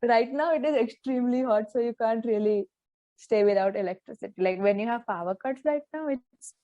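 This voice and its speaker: A woman's voice: a little high, a little fast, dark tone, dry recording, lo-fi sound. A teenage girl from India with an Indian English accent, hosting a casual podcast and teasing her co-host with dry quips. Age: teens.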